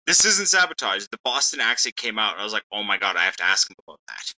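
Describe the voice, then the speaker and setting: A man's voice: lowish voice, big pitch swings, fast, sharp tone, muffled recording. A man co-hosting a podcast in casual banter, teasing his friend and talking with many "like" fillers. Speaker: podcast co-host.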